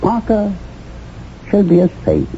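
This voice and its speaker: Theatrical, wildly swooping mastermind. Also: male voice, high voice, wildly swooping pitch, slow, dark tone, soft background music, exaggerated voice. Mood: commanding, prophetic. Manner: theatrical, oracular, insistent. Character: commanding, persuasive mastermind of a shadowy identity-changing company. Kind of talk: 1970s radio drama acting. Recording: tinny, band-limited old radio, faint noise.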